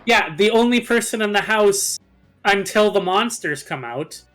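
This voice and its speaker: A man's voice: sits high, expressive, a little slow, a bit bright. A man in casual, playfully insistent banter with friends as he co-commentates a video game. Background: game music.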